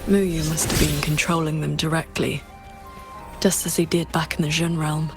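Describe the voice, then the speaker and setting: Low, restrained, solemn warrior woman. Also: little pitch movement, music in the background. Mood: grave. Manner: measured, restrained, grave. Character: solemn, composed warrior woman with long memories. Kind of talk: dramatic game voice acting.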